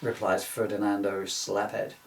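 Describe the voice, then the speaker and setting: A man's voice: lowish voice, level tone, normal speed, vocal fry. A man reading from his own book in an informal way, with jokey, self-mocking, rambling asides. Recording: echoey room, distant mic.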